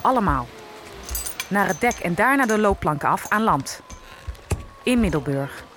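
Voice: medium pitch, fairly bright tone, slight background noise, lightly acted. An actor playing a freed African captive, weary and shaken, narrating a radio drama in the first person. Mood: weary, relieved.

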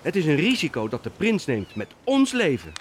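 A high male voice as a worried, bitter seafarer in an audio drama. The pitch swings widely, over faint background noise. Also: huge pitch swings, moderate pace. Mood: anxious, bitter. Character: uneasy, embittered seafarer wary of a deadly gamble. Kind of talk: historical radio play acting.

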